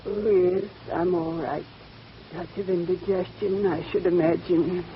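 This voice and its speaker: A woman's voice: lowish voice, steady tone, a little slow, natural voice. An actress playing an ailing, gushing old society lady, sighing and doting, in a vintage radio drama. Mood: pained, weary. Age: older. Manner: effusive, sighing, doting endearments. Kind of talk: 1940s-50s radio drama acting. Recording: muffled vintage radio with faint noise.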